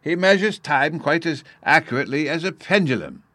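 A man's voice: medium pitch, sing-song, moderate pace, creaky, sharp tone, no room sound, pitched above the performer's own voice, exaggerated voice. A man voicing a polite, absent-minded old professor in a storybook, courteous, stammering and enthusiastic.

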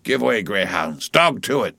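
A man's voice: lowish voice, very animated, measured pace, creaky, bright, forceful tone, dry recording, exaggerated voice. Man playing an intense, indignant chief mate. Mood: intense urging. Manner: intense, declamatory commands. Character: indignant, intense male chief mate urging his rowers. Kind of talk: audiobook storybook character voice.

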